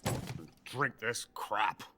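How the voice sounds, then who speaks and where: An actor playing a drunk, boastful older Soviet weapons scientist in a video game cutscene. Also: male voice, on the low side, slow pace, background noise. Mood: bitter, scheming. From Soviet Union. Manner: pompous, self-important, bitter.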